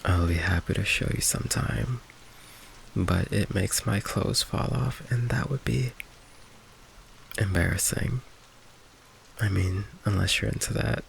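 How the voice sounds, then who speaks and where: Casting: male actor as tender, sheepish vampire boyfriend for ASMR audio; low, heavily creaky voice, soft hesitant delivery. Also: heavily acted. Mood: playful, bashful. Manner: soft, hesitant, halting, breathy sighs and chuckles. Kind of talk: intimate ASMR roleplay. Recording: faint noise, rain ambience behind. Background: rain ambience.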